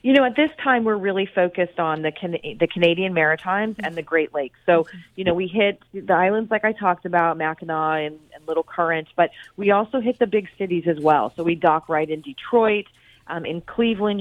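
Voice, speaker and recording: A woman representing a cruise line, pitching its trips in an upbeat, fluent way during an interview. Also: brisk, dry recording. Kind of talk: promotional interview talk. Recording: tinny phone line.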